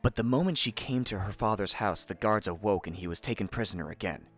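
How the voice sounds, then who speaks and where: A man narrating a fairy tale in an expressive, theatrical storytelling manner. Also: lowish voice, fast, faint music behind.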